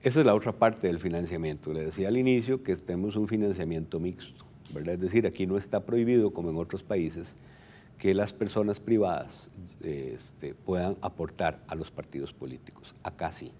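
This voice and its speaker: A man's voice: deep voice, fairly quick, dark tone, slight background noise. A middle-aged Costa Rican man speaking as an expert guest in a television interview, explaining things didactically. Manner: didactic, poses and answers own questions. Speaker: electoral registry director, interview guest. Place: television studio. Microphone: lapel mic.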